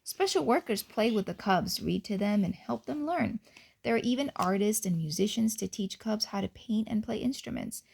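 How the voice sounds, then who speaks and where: Storyteller mom reading to kids; lively, slightly gravelly read-aloud voice. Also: female voice, mid-range voice, normal speed. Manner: warm, chatty asides to the children.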